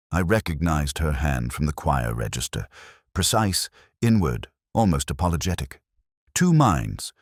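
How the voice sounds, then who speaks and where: An actor playing a weary, reflective police inspector, narrating a mystery in a measured, literary, brooding manner. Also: male voice, a bass voice, no echo, studio quality, heavily acted. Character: weary, reflective male police inspector recounting his case. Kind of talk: scripted first-person mystery narration.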